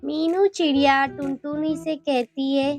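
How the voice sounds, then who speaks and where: A woman narrates a children's tale in a high voice at an unhurried pace. It is scripted storytelling with music underneath. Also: flat delivery, darkish tone. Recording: music behind the voice.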